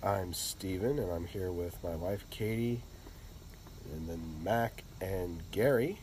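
A man's voice: deep voice, slow, a little hiss or hum. Stuffy-nosed man hosting a podcast. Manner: relaxed, rambling with fillers. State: congested from a cold. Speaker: podcast host. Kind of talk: casual podcast chat.

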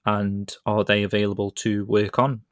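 A man's voice: lowish voice, little pitch movement, normal speed, dry recording, clean studio sound. A man with a British accent hosting a podcast in a chatty, rambling way and laughing easily. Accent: British English. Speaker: podcast host. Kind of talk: casual podcast chat.

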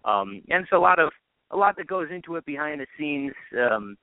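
A man's voice: a little high, sing-song, brisk, slight background noise. A man, a radio sports announcer, giving conversational interview answers as a guest over a narrow phone line.

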